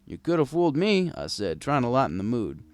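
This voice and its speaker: A man's voice: mid-range voice, huge pitch swings, fairly quick. A man narrating a scripted first-person story as a world-weary, kindly old bartender. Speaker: audiobook narrator.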